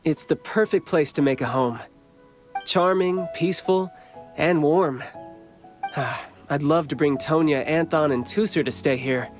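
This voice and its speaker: A man's voice: a little high, a little slow, faint music behind, lightly acted. A man voicing a cocky, friendly young warrior in a video game, with a teasing, confident manner. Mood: warm, playful. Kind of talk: video game character voice lines.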